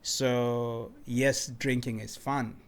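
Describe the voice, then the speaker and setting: A man's voice: mid-range voice, drawn-out words. Laid-back reflective man. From Democratic Republic of the Congo, raised in Cape Town. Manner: relaxed and reflective, often adds "man" and "you know". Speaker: guest artist. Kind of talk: casual interview conversation.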